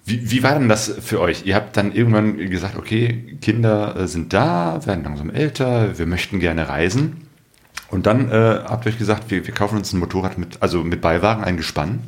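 A man hosts a podcast, feeling his way through questions in a casual style. His voice is fairly low but swings widely in pitch. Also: animated, moderate pace. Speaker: podcast host. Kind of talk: casual conversational interviewing.

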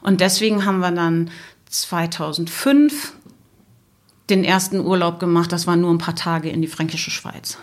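A woman's voice: fairly low pitch, normal speed. A middle-aged woman recalling the past informally as a guest on a podcast.

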